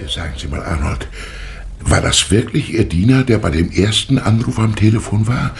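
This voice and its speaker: A courteous, curious professor in an old 1960 radio mystery. The voice is lowish and very varied in tone, with musing hems and haws. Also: male voice, animated, moderate pace, creaky, loud music behind the voice. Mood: pondering. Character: courteous, inquisitive, pondering professor. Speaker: actor in a crime radio play. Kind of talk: 1960 German radio play acting.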